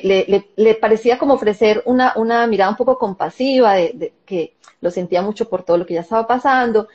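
Soft-spoken Colombian woman, muffled audio. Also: mid-range voice. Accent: Colombian Spanish. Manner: soft, reflective, with hesitant fillers. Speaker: guest, psychologist and therapist. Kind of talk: interview, personal story.

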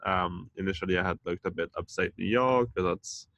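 A low-pitched man with a gravelly, bright tone talks loosely about himself as a podcast guest. He often pauses and fills with "um", and the sound is dry and close. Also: no echo. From France and Denmark. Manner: hesitant, many fillers and restarts. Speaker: community founder, podcast guest. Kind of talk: casual interview chat.

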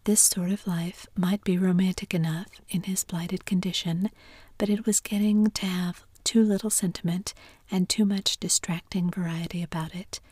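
A woman narrating a classic story in a soft whisper throughout. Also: on the low side, steady tone, normal speed, slightly rough, dry recording, studio quality. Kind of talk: whispered audiobook reading.

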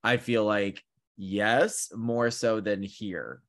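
A man in his thirties co-hosting a podcast, telling stories in an animated, chatty way with lots of fillers. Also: fairly low pitch, extremely slow. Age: 30s. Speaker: podcast co-host; counseling student. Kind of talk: casual chat.

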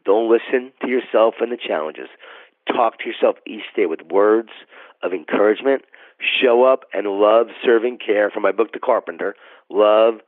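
A man speaking as a motivational guest on a podcast, his voice scratchy and hoarse from allergies, delivering energetic, preachy encouragement. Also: normal speed, no echo, narrow, tinny audio. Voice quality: scratchy, deep from pollen allergy.

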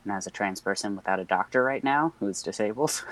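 A man's voice: lowish voice, a little fast, fairly bright tone. Hesitant young man chatting casually. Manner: rambling, hesitant, many fillers, nervous laughs. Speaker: podcast co-host. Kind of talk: casual podcast chat.

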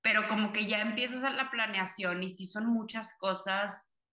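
A woman's voice: medium pitch, fairly even intonation, moderate pace, pushed and bright. A young woman from Cuba, raised in Monterrey, chatting casually with filler-heavy, rambling reflections. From Cuba, raised in Monterrey, Mexico. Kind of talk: casual conversation. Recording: echoey room.

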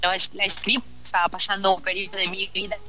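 A woman's voice: mid-range voice, little pitch movement, fast talker, pushed and bright. An Italian-born woman with an Italian accent, talking as a singer in a radio interview, hesitant and searching for words. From Italy. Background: faint background music.

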